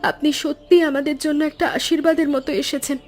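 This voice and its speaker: A woman voicing an earnest, polite college-age granddaughter in an animated cartoon story. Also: high voice, quick pace, soft background music, natural voice. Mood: grateful. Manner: polite, earnest, speaks in full careful sentences.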